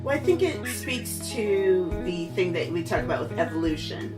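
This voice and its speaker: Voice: mid-range voice, very animated, normal speed. A podcast host interviewing a guest in casual, enthusiastic, chatty conversation. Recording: slightly distant mic, music underneath.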